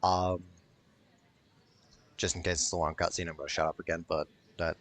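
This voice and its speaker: A man's voice: sits low, flat delivery, normal speed, fairly bright tone. A man giving casual let's play commentary in a blunt, sweary way. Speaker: gaming video host.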